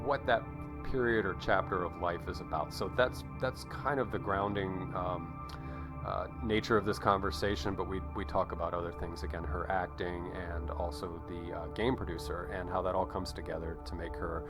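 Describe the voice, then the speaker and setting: Low-voiced man hosting a chatty interview. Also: steady tone, moderate pace, music in the background. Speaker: interview show host. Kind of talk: conversational interview introduction.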